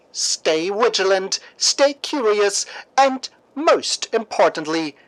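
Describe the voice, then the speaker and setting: A man plays news anchor for a fan-made radio show. He tells the scripted tales slowly and deliberately, with a theatrical flair. His pitch rises and falls in a lively way. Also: rough recording. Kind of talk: dramatic scripted narration, mock radio news.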